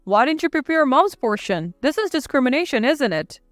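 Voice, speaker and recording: A woman's voice: sits high, big pitch swings, a little fast, no echo, faint music behind. A woman voicing the firm, blunt men of a family in a dramatized story. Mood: cool, protesting. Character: narrator's son and husband, stern blunt males.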